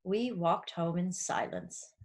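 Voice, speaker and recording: A woman's voice: on the low side, very animated, slow pace, slight background noise. A woman voicing a snobbish, disapproving well-to-do mother in a storybook read-aloud, haughty and commanding. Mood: affronted, worried. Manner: haughty, commanding, easily affronted.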